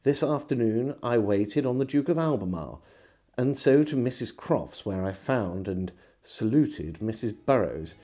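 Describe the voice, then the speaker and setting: This is a middle-aged man with an English accent, narrating a historical diary. His voice sits at an average male pitch and moves very expressively up and down. The delivery is measured and literary, in the manner of an old-fashioned storyteller. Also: lively intonation, dark tone. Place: small indoor room lined with shelves.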